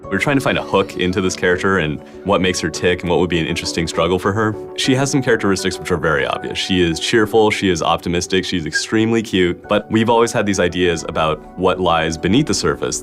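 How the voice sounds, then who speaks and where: Man, very deep voice, interview, faint music. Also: fast. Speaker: game writer interviewed. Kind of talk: documentary interview.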